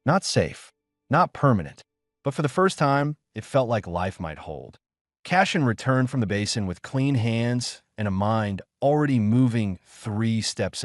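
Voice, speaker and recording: Low-pitched man narrating, lively intonation. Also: no echo. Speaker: audiobook narrator. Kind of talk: scripted dramatic narration of a sci-fi story.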